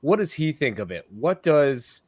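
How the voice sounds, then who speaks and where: A man with a mid-pitched, very lively voice co-hosting a casual sports podcast. His speech is animated and full of filler words, and the sound is dry and close. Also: measured pace, no echo. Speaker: podcast co-host.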